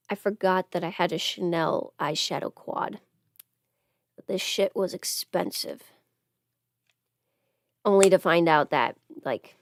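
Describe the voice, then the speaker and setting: Slow-paced woman chatting casually on livestream. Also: on the low side, dry recording. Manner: rambling, thinking aloud, swearing casually. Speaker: livestream host. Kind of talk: casual livestream chat while getting ready.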